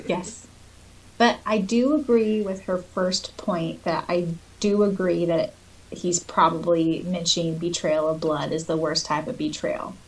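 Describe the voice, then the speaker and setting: Husky woman podcast co-host. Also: lowish voice, fairly even intonation, unhurried, rough recording. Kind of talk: casual podcast chat, reading listener notes aloud.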